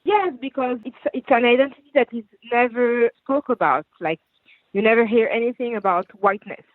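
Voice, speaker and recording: A woman's voice: fairly high pitch, fairly quick, no room sound. A woman from France with a French accent, giving earnest, explanatory answers in a radio interview, often rephrasing as she goes. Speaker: guest writer and activist. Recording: tinny phone line.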